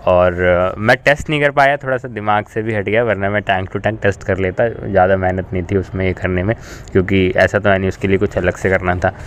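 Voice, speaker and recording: A man's voice: low-pitched, steady tone, a little fast, slight background noise. A man talking casually as a car vlogger, informally mixing in English words. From India. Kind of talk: casual road-trip vlog talk.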